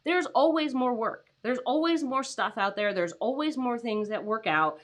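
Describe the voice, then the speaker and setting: A young adult woman hosting a casual livestream, her voice raspy from tiredness, chatty with many "like"s and "um"s. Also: a little high, lively intonation, moderate pace. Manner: chatty, lots of "like" and "um", laughs often. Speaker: host.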